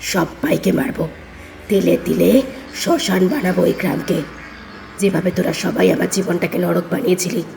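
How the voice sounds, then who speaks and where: A woman voicing a vengeful female skeleton ghost, who roars threats in a gravelly voice in an audio horror story. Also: on the low side, fairly even intonation, moderate pace, a little mellow, faint music behind. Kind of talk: Bengali audio drama acting.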